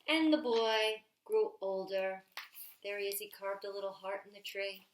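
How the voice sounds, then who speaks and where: A woman's voice: mid-range voice, fairly even intonation, normal speed, a little hiss or hum. A woman reading a picture book aloud to children as a story time reader, adding chatty little asides. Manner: chatty asides to young listeners. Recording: roomy, echoey home-style recording.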